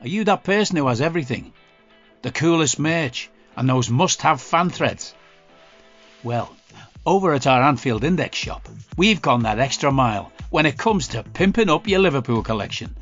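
Lively higher-voiced man reading an advert. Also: moderate pace. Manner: upbeat salesman patter. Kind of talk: scripted podcast advert read. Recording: dull lo-fi audio with music bed. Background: faint music.

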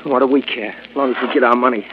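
An actor playing a tough, streetwise hired crook with ungrammatical speech, in old radio drama style. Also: male voice, mid-range voice, fairly even intonation, very fast, close to an everyday voice. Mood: tough, impatient. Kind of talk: 1940s radio acting. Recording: noisy muffled old radio recording.

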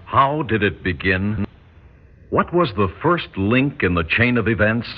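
A man's voice: on the low side, animated, measured pace, loud music behind the voice. A man playing a grave, portentous narrator in a 1960s radio drama, with a solemn and rhetorical delivery. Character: grave, portentous male storyteller pondering war. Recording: dull old radio recording.

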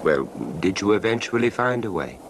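A male actor as an inquisitive traveller in a vintage radio play. His voice is deep and varied in tune, attentive and probing, with noticeable rumbling noise behind it. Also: animated, normal speed, close to an everyday voice. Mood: curious, urging. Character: curious male train passenger, listening and narrating the encounter. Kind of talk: old-time radio drama acting.

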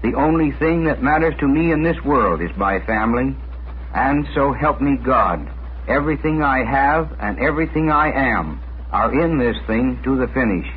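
A man from Canada gives an earnest, solemn address as a radio guest. His voice is medium in pitch. The 1940s broadcast is very narrow, with faint noise. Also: expressive, normal speed. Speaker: guest speaker, family man.

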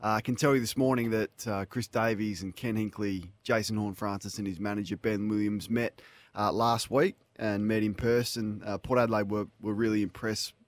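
A man with an Australian accent hosting a sports radio show, delivering news and reading out lists briskly. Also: lowish voice, steady tone, no echo.

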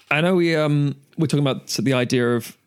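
A man's voice: on the high side, normal speed, clear, resonant tone. A man chatting casually as a panelist on a podcast about films and games.

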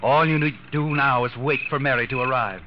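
An American man acting as the ominous, taunting narrator of a 1940s radio crime thriller, telling the story deliberately to the culprit. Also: medium pitch, noisy background, lightly acted. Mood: tense, ominous. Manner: taunting, deliberate, suspenseful second-person storytelling. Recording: dull old radio recording, loud music behind. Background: dramatic music.

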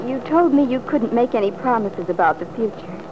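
A woman's voice: fairly high pitch, expressive, a little fast, natural voice. An actress playing an earnest, devoted and wistful young woman in an old-time radio drama, speaking hesitantly. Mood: earnest, resolute. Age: young adult. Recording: muffled, noisy vintage radio recording.

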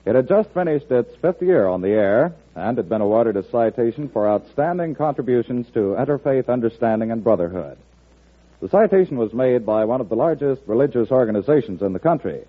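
A man's voice: medium pitch, animated, fairly quick. A man working as a radio announcer, reading promotional copy in a formal 1940s style. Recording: tinny old radio broadcast.

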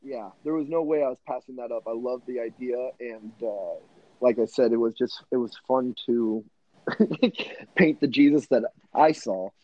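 A man's voice: mid-range voice, moderate pace, dry recording, highs cut off. A man in his thirties, a guest on a podcast, chatting casually and joking about himself with frequent laughs. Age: 30s. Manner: laughs often, self-mocking jokes. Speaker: guest, artist.

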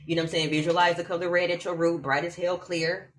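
A woman from Omaha, a laughter yoga teacher, chatting casually in an animated, slangy way. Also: lowish voice, fast, a little hiss or hum. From Omaha, Nebraska. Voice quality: animated, slangy, spiritual talk with bursts of laughter. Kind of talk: casual chat.

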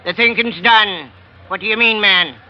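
High-voiced scheming shipboard villain played by a man. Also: moderate pace, natural voice. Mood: pressing. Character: gleeful, scheming villain aboard ship, theatrical and wheedling. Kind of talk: old-time radio drama acting. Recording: dull vintage radio recording, faint noise.

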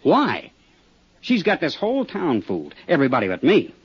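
A tough American clip-joint owner, husky and slangy, always on the defensive. His delivery swings up and down with lively streetwise bite. The sound is a dull vintage radio drama recording. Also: fairly high pitch, moderate pace, full character voice. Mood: bitter, emphatic. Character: tough, cagey small-town roadhouse owner, wisecracking hustler. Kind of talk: 1950s radio drama acting.

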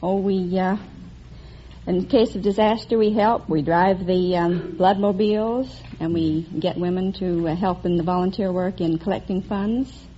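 A woman's voice: lowish voice, steady tone, normal speed, dark tone, slight background noise. Hesitant woman contestant, old radio sound. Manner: brief, hesitant answers with uhs. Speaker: quiz contestant, housewife and Red Cross volunteer. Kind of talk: unscripted quiz show answers. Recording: lo-fi vintage radio broadcast.

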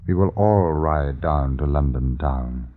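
An actor playing a stern sheriff of old London Town in a radio drama, questioning in formal, archaic phrases. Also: male voice, a bass voice, slow, over music. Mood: stern, probing. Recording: dull, noisy vintage radio recording.

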